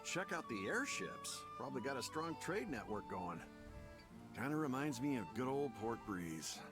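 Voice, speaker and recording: An actor voicing an easygoing, observant adventurer in a video game, with music behind him. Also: male voice, mid-range voice, sing-song, moderate pace, natural voice. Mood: relaxed interest. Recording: game audio with music underneath.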